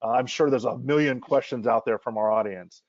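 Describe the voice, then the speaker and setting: A man's voice: mid-range voice, a little fast, dry recording. A man hosting a casual video-call interview, asking questions loosely with frequent restarts. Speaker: host. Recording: dry, dull lo-fi sound.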